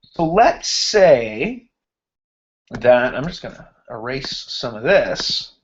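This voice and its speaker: A man's voice: medium pitch, very animated, dull audio. A man teaching a finance class over an online hangout, walking through a spreadsheet example while he is losing his voice. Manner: slow, thinking aloud while formatting cells. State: losing his voice, clearing throat. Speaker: finance instructor. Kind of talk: screen-share spreadsheet lecture. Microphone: computer mic on a video hangout.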